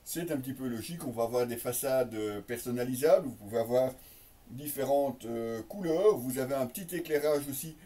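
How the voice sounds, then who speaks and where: A man gives a casual, unscripted product presentation as a reviewer, in piecemeal phrases with many drawn-out hesitations. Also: mid-range voice, dark tone. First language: French. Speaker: motorcycle gear reviewer. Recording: slightly distant microphone.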